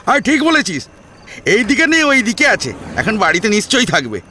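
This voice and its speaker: High-pitched, scornful young gossip. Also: male voice, moderate pace, a little hiss or hum, heavily acted. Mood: agitated. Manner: excitable, disapproving, colloquial. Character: young man, gossipy scornful friend of the hero.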